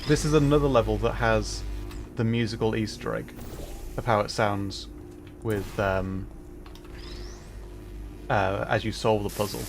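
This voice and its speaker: A man streaming as a virtual character, giving relaxed, rambling commentary while he plays and answers chat. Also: lowish voice, measured pace, pauses often, a little hiss or hum. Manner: relaxed, rambling, chuckles often. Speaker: VTuber streamer. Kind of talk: casual gameplay commentary.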